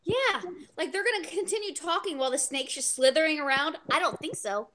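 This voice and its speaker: High-voiced, blunt woman chatting. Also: expressive, brisk, pushed and bright. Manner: blunt, joking, swears freely. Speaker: book club discussion host. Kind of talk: casual group book chat. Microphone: group video call.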